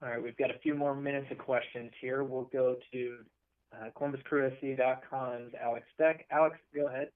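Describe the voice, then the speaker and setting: A man with a smooth, clear voice and medium pitch moderates a press call in an orderly style. The sound is thin and phone-like. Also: steady tone, a little fast. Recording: narrow phone conference line.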